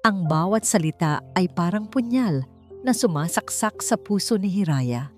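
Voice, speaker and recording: A woman's voice: fairly low pitch, lively intonation, a little slow, a little mellow, faint music behind. A woman narrating a scripted romance story in a dramatic storytelling style.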